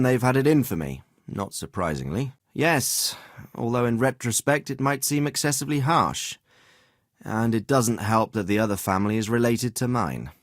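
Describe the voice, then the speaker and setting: An actor playing a dignified, fatherly middle-aged nobleman in a medieval video game, speaking in formal, deliberate phrases. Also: medium pitch, dry recording, clean studio sound. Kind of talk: scripted video game dialogue.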